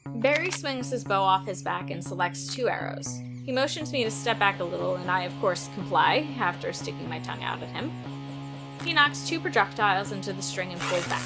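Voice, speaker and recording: A woman's voice: medium pitch, a little fast, gravelly, rough recording. A woman playing a sardonic, defiant teenage girl archer who narrates and speaks in an audio drama, expressively. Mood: wry. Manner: sarcastic, expressive. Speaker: narrator and lead character. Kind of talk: first-person audio drama narration and dialogue. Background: music.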